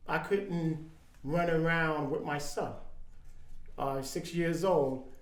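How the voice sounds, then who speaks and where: A man in his fifties speaking in casual chat as a podcast guest, earnest and halting, with many ums and restarts. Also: on the high side, fairly even intonation, roomy sound. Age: 50s.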